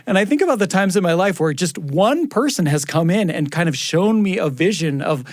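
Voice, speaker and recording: A man's voice: high-pitched, animated, fairly quick, darkish tone, dry recording. An American man co-hosting a podcast, speaking in a casual, reflective way at a close studio microphone. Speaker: podcast producer and co-host. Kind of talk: casual reflective chat and credit reading.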